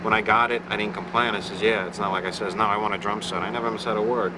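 A man's voice: fairly low pitch, fairly even intonation, quick pace, background noise. A young man, a rock guitarist, telling in a casual interview how he started playing, in plain storytelling with restarts.

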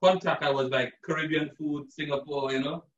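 A man from Trinidad who works as a chef, talking through a live cooking demo in a relaxed, chatty way with lots of fillers. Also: on the high side, fairly quick. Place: home kitchen indoors. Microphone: far camera, video call. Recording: roomy lo-fi sound from far off.

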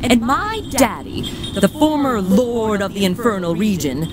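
A woman's voice: on the high side, sing-song, a little slow, a loud crowd. An actress playing a teasing, grandiose demon queen of fire in an audio comedy drama, telling her tale with sly, theatrical relish. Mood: gloating. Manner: theatrical, savoring, sly storytelling. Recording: loud music under the voice.

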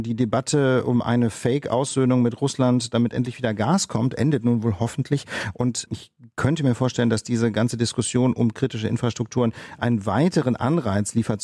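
A man co-hosting a political podcast in a mid-range, mellow voice. He lays out events in a calm, measured, explanatory way. The recording is dry and close. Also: medium pitch, no echo. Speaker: podcast co-host, lawyer.

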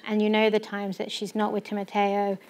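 A woman in her thirties interviewing a guest on stage, asking gentle conversational questions. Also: mid-range voice, completely flat delivery, brisk. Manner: gentle, conversational, uses fillers like "kind of". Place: stage of a small theater.

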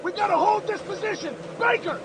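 Commanding American war-game sergeant over battle noise. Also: male voice, very high voice, fairly quick, a crowd in the background. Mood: urgent, shouting. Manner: barking, urgent orders. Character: gruff commanding American WWII paratrooper sergeant. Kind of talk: video game war drama acting. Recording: loud battle noise behind.

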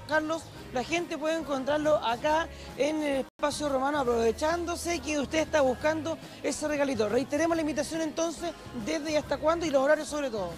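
A young man with a Chilean accent, a TV reporter walking a craft fair and pitching it to viewers with a handheld mic. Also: a little fast, hardly any pauses. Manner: upbeat, persuasive, addresses viewers directly. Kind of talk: promotional TV fair walkthrough. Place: indoor craft fair hall. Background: background music.

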